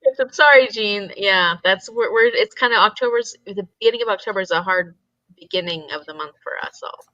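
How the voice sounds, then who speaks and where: A woman's voice: medium pitch, brisk, sharp tone, dry recording, highs cut off. A woman chatting casually and informally as co-host of a group livestream, talking into a close mic on a call.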